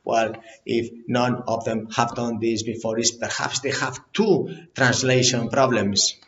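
A man who is not a native English speaker, a company CEO talking straight to the camera in plain, halting phrases and repeating words. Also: medium pitch, fairly even intonation, fairly bright tone, lo-fi sound. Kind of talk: direct-to-camera business talk.

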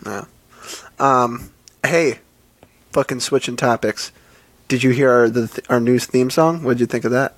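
A man with a raspy, nasal voice that sounds a little sick all the time. He trades crude jokes with his co-hosts in loose podcast chat. The sound is dry and close, with no room around it. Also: mid-range voice, a little slow, pauses often, no room sound. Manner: joking, crude banter. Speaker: podcast co-host. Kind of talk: casual chat.

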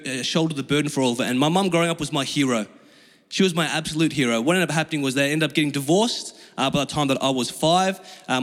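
A man's voice: fairly high pitch, a bit bright. A young man with an Australian accent and Chinese as his first language, preaching in an informal, slangy, conversational style. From Australia, Melbourne. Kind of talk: conversational sermon with personal storytelling. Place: large reverberant room with an audience. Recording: long hall reverb.

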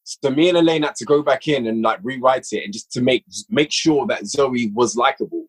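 A man's voice: mid-range voice, fairly quick, crowd noise, poor audio. A man, a guest writer and producer of audio drama, chatting at length on a podcast and explaining his work with frequent restarts. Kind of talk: casual podcast conversation.

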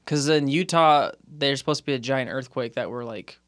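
An American man hosts a podcast in a loose, conversational style. His voice sits at a middling male pitch, and the recording is dry, with little room sound. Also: moderate pace, a bit bright, dry recording. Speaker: podcast host and interviewer. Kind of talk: casual podcast chat.

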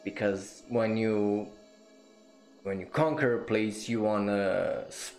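A man's voice: on the low side, steady tone, slow pace, a little mellow, soft background music. A man hosting a podcast, talking casually and bluntly about history, rambling with many fillers, restarts and the odd swear word. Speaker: podcast host.

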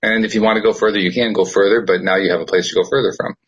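A man hosting a casual podcast chat, reflective and quick to laugh as he gives life advice. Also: fairly low pitch, steady tone, husky, muffled recording. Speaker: podcast host.

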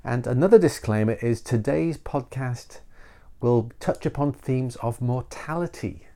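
A man's voice: medium pitch, a little slow, vocal fry, mellow. Nasal middle-aged man with a cold. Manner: meandering, self-deprecating, many restarts. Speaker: podcast host. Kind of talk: rambling solo podcast monologue.

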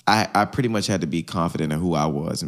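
Podcast co-host, young man, lowish voice, easygoing and chatty, leans on fillers. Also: fast. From Hampton, Virginia. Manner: relaxed, loose, many "you know" fillers. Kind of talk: casual conversation.

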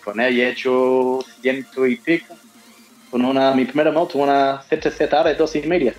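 An Australian man whose accent has picked up an Andalusian lilt, sits as a guest and answers at a medium pitch. He often trips and restarts his phrases while he searches for the words. Faint music plays underneath him. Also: level tone, moderate pace. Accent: Australian mixed with Andalusian. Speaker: interview guest, motorcyclist. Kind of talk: casual interview answers.

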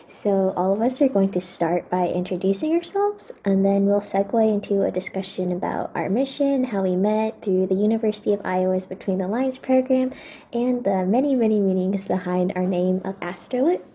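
A woman from Oregon hosting a podcast, reading a scripted introduction in an even, orderly way. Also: mid-range voice, moderate pace, a little hiss or hum. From Oregon, USA. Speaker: podcast host.

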